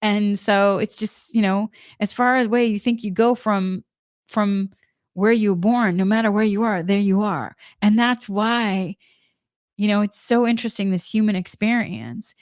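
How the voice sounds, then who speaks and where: A woman's voice: medium pitch, steady tone, measured pace, no room sound. A woman from Massachusetts talks through a spiritual energy forecast, her faint Boston accent showing through. She is earnest and meandering, circling back and restarting when a word trips her up. The recording is dry and close. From Massachusetts, New England. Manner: earnest, rambling with restarts and stumbles. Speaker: spiritual channel host.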